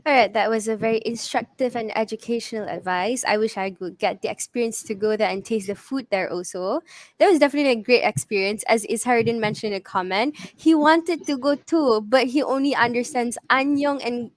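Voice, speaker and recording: A woman's voice: fairly high pitch, brisk, no echo. A woman informally moderating an online panel over a video call. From Malaysia.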